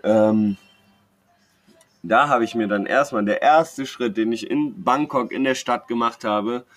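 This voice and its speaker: A man's voice: medium pitch, a little slow, fairly bright tone. A young man chats casually as a podcast co-host, telling personal anecdotes in a slangy, rambling, jokey way. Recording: clear background noise.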